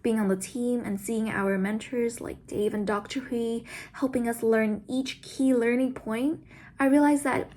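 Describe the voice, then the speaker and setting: High-voiced young woman giving a speech. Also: steady tone, a little slow, darkish tone. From Vietnam. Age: around 20. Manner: earnest, formal. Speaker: student speaker. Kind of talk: prepared speech.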